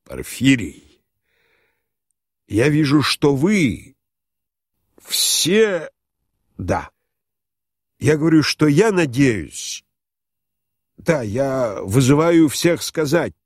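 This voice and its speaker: Man acting a drunken, humiliated general, swinging wildly in pitch. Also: wildly swooping pitch, darkish tone, husky, dry recording, clean studio sound, exaggerated voice. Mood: tearful pleading. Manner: halting, drawn-out, repetitive, pompous. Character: drunken, humiliated high-ranking general, tearful, indignant, pleading.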